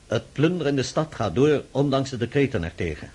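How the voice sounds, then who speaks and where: An actor in a radio play voicing a deferential, dutiful military aide who reports formally and soberly to his emperor in a husky voice. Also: mid-range voice, sing-song, fairly quick, darkish tone. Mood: grave, reporting. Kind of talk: radio drama acting.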